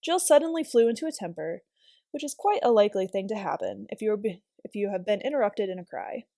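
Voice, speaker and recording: A woman's voice: mid-range voice, expressive, fast, vocal fry, warm tone, no room sound, studio quality. A woman reading aloud as a volunteer storyteller, narrating a children's novel expressively.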